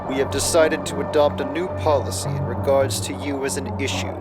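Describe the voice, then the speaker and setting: A man's voice: medium pitch, level tone, brisk, loud music behind the voice, lightly acted. A man playing a cold, precise male aide to villain lords in a sci-fi radio drama. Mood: formal. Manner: formal, precise.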